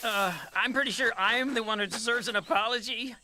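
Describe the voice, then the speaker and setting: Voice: medium pitch, brisk, very noisy, lightly acted. An actor in an English anime dub playing a polite, easily flustered young student teacher who protests earnestly. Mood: bewildered. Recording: loud music behind.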